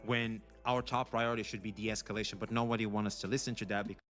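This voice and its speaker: Scolding man, lowish voice, over music. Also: steady tone, fast talker, fairly bright tone. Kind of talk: opinionated commentary.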